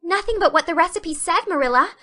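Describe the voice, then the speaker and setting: A woman's voice: very high-pitched, lively intonation, fast talker, sharp tone, pitched above the performer's own voice, heavily acted. A woman voicing an effusive, dramatic orphan girl in an audiobook reading. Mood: anguished. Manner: effusive, melodramatic.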